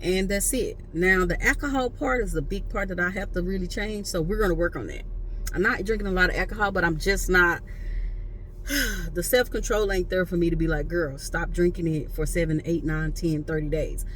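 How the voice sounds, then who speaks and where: A woman's voice: on the low side, normal speed, fairly bright tone. A woman in her late thirties, chatting casually and playfully to her viewers, slangy and loose. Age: late 30s. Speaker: vlogger. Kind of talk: casual talk to camera.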